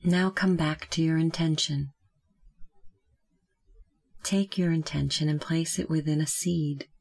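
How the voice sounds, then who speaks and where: A meditation guide speaking gently and calmly, giving slow, measured instructions with long pauses. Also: medium pitch, creaky.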